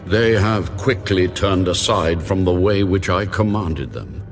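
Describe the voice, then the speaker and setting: A mighty, wrathful God in an audio Bible drama. The voice is very low and slow, solemn and full of command. Faint music sits behind the declarations. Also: male voice. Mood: urgent, wrathful.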